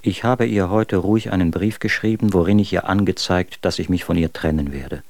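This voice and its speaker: Low-voiced, scornful, embittered husband. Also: male voice, a little fast, darkish tone, no room sound. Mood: cold disgust. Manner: literary, scornful. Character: bitter, contemptuous husband writing letters about his wife. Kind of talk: dramatic reading of old letters in a radio play.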